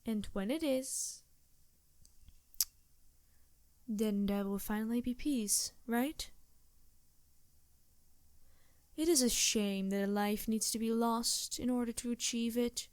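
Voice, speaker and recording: Slow, soft-spoken succubus played by a woman. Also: a little high, dry recording, natural voice. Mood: resolved, solemn. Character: scheming female succubus demon, seductive, reluctant but loyal ally. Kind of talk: improvised ASMR roleplay, intimate and conversational.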